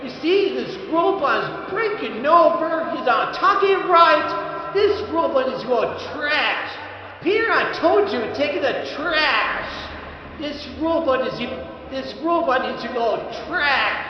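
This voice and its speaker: A speaker run through a pitched-up cranky kitten voice filter, rambling and scolding with frequent shouts in a home recording. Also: expressive, slow. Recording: echoey lo-fi room with noise.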